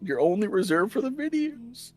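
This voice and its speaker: A man's voice: high voice, very animated, moderate pace, over loud music. Rambling man with a slight Southern accent. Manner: rambling, restarts often. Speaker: stream guest. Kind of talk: casual chat.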